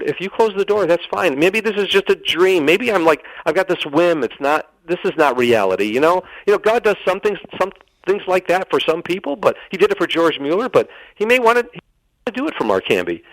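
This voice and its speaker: A man's voice: on the high side, no echo, low-quality recording. A middle-aged man telling a personal story as a radio interview guest, earnest and dramatic, acting out the dialogue and repeating phrases for emphasis.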